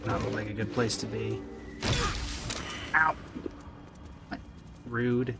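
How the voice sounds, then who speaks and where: A man's voice: lowish voice, level tone, takes it slowly, creaky. A man giving casual, dry, wry commentary as he streams a video game. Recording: music behind the voice. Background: video game music.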